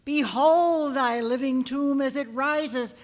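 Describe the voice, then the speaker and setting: A woman's voice: sits high, takes it slowly. A woman playing a stern messenger of God in a radio drama, giving grand, solemn, archaic pronouncements. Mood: solemn judgment. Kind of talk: old-time radio drama acting. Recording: echoey hall with long reverb.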